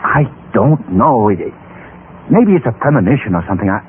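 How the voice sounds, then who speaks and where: An actor playing an anguished, weak-willed married man in an old-time radio melodrama, halting and agitated in his speech. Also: fairly low pitch, lively intonation, phone-quality sound, natural voice. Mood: uneasy, insistent. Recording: thin, hissy old radio recording.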